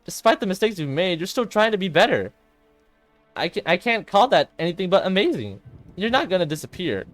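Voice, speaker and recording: A high-pitched, frantic teenage girl played by a man, a loyal best friend in a visual novel drama. The delivery is desperate and emphatic, with music behind it. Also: big pitch swings, brisk, a bit bright. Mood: earnest pleading.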